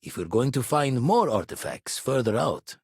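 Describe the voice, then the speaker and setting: A seasoned spaceman and former pirate for a video game. His voice is husky and very expressive in pitch, recorded dry and close. He talks in clipped, folksy phrases, gruff and world-weary but loyal. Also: moderate pace, no echo. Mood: steady, weathered. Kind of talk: video game voice acting, dramatic dialogue.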